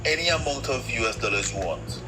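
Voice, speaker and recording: A man's voice: medium pitch, animated, a little fast, music in the background. A man who calls in to a live chat and presses the others with questions. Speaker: caller. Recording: noisy live-stream audio.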